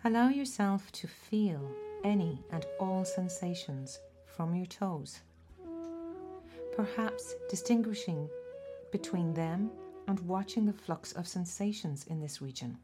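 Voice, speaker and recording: A woman leading a guided body scan meditation, giving gentle, measured instructions with deliberate pauses. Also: lowish voice, animated. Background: music.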